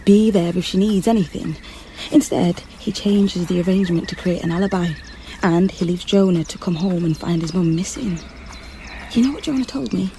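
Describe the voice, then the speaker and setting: A woman's voice: on the low side, moderate pace, dark tone, close to an everyday voice. Actress doing frustrated undercover cop woman, intense and pushy, modern radio play, noticeable background noise. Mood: earnest, urgent. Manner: intense, insistent, emphatic. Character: determined, frustrated undercover policewoman posing as nanny. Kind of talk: naturalistic modern radio drama acting.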